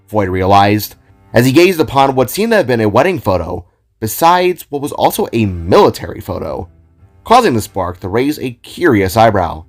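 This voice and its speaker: Guy narrating an audio drama, mid pitch voice, really lively up and down, music underneath.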